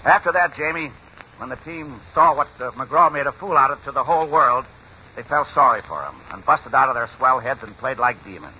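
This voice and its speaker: A man's voice: medium pitch, lively intonation, a little fast, bright, forceful tone, husky, muffled recording, full character voice. A man playing a folksy old grandfather in a 1940s radio drama, spinning a baseball yarn in colloquial speech. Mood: animated storytelling. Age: older. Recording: noisy, band-limited 1940s radio recording.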